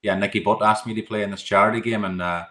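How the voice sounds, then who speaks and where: Fast-talking Irish man on a video call. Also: lowish voice, fairly even intonation, pushed and bright. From Ireland. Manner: rambling, repeats words, many "you know" fillers. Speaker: guest, goalkeeping coach. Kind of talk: casual interview answers. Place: indoor room at home.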